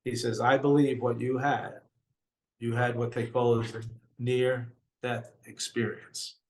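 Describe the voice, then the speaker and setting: A man's voice: level tone, takes it slowly. An older man with a New York accent earnestly telling his own story, often quoting what others said to him. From Brooklyn, New York. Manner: earnest, retells dialogue in quotes, voice drops low and quiet at hard moments. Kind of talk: personal storytelling.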